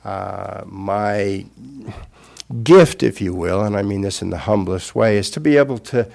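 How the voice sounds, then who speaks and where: An older man, a Buddhist teacher, giving an unscripted Dharma talk in a gentle, reflective way with pauses. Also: on the low side, creaky.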